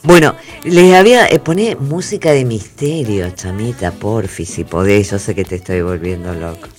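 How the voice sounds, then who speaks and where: A man's voice: lowish voice, expressive. A man hosting a radio talk show, telling news stories in a playful way full of asides. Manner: playful, drawn-out storytelling with asides. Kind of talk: chatty news segment.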